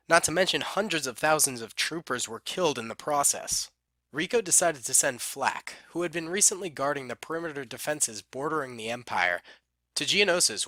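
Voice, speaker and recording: Bright-voiced male storyteller. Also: medium pitch, dry recording. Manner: steady storybook reading. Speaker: narrator. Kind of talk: scripted story narration for a fan film.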